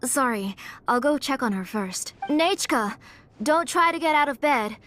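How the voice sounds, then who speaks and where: Gentle, devoted mother, slightly high. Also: expressive, unhurried, slight background noise. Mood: tender concern. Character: devoted, sorrowful, gentle mother and name engraver. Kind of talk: video game voice acting.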